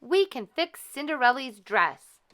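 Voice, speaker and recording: An excited little storybook mouse played by a woman reading aloud, high and bright. The delivery is eager and cheery, full of helpful enthusiasm. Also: a little slow, heavily acted. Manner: eager, exclamatory.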